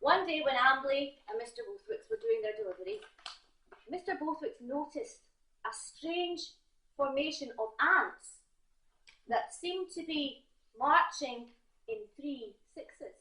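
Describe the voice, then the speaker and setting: A Scottish woman telling a comic tall tale live in a theatre, speaking directly to her audience with halting asides. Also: sits high, lively intonation, pushed and bright. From Scotland. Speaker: competition storyteller. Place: theatre stage before an audience. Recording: roomy, echoing theatre recording.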